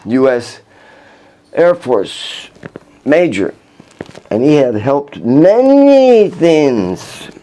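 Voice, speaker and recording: A man's voice: medium pitch, darkish tone. Older man telling rambling stories. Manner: halting, with restarts, chuckling. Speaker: vlogger recounting his life. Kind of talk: rambling personal storytelling. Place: outdoors in Vrindavan.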